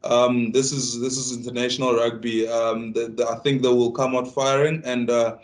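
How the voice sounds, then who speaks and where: A South African man, Tsonga by first language, answering at a press conference as a rugby player. His pitch barely moves and his delivery stays level. He stumbles and restarts often, repeating words as he searches for them. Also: little pitch movement, moderate pace.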